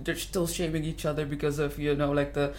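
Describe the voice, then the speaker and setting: A woman's voice: sits low, flat delivery, fast talker, a little mellow, slight background noise. A woman from the Netherlands guesting on a podcast, chatting frankly with lots of giggles. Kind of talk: casual chat. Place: studio.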